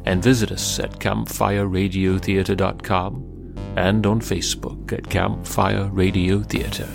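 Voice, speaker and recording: Guy reading credits slow and creaky, announcer style, music under it. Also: low-pitched, level tone. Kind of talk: scripted credits narration. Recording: music bed behind voice.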